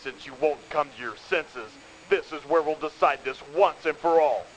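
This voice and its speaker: An actor playing a proud, stern emperor at war in a declamatory video game cutscene style. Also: male voice, normal speed, natural voice. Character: proud commanding emperor at war with his brother. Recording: noisy 1990s CD game audio, rumble.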